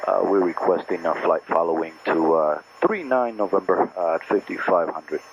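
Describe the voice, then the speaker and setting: A man's voice: sits low, normal speed. A man learning to fly, talking casually and making hesitant radio calls over a headset. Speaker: student pilot. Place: small aircraft cockpit in flight. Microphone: aviation headset. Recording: muffled headset audio with clear cabin noise.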